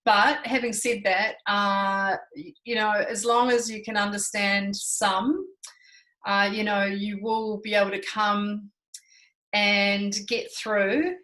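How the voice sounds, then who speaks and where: A woman in her thirties from New Zealand with a New Zealand accent, co-hosting a casual chat in a relaxed, reassuring, fluent way. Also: mid-range voice, slow. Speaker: co-host.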